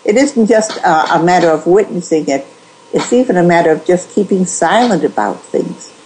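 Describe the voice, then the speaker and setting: A woman in a conversational interview, giving measured advice with frequent restarts. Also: lowish voice, big pitch swings, darkish tone, a little hiss or hum, rough recording. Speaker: guest.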